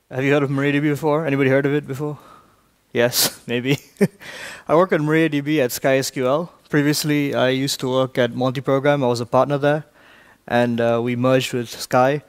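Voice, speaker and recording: A man from Malaysia giving a technical talk in a large conference hall, briskly quizzing the audience. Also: mid-range voice, fairly even intonation, clear, resonant tone. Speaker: conference speaker.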